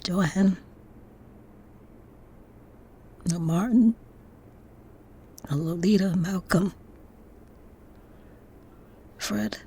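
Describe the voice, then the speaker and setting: Subdued, mellow-voiced troubled daughter. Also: female voice, lowish voice, drawn-out words, breathy edge, full character voice. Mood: grieving. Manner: hushed, hesitant delivery. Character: troubled adult daughter of activists, subdued and searching. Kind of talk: intimate audio drama acting.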